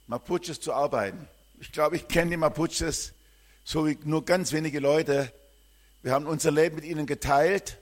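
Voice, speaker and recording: Older German missionary, rambling testimony. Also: male voice, a little high. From southern Germany. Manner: warm, rambling, with frequent hesitations. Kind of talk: church greeting and personal testimony.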